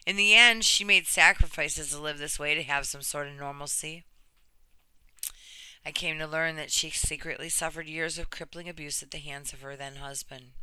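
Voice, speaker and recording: Female reader for a personal true story. Crisp, smooth tone, slightly low-voiced for a woman, earnest delivery, very dry recording. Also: sharp tone, no room sound. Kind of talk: reading a personal written story aloud.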